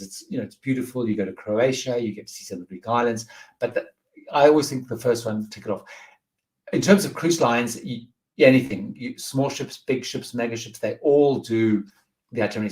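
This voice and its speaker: A man's voice: on the low side, darkish tone. A man hosting a live question-and-answer stream, casually reading out viewer questions and giving rambling, friendly advice. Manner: rambling, many fillers and restarts, friendly advice. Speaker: host of a live cruise Q&A.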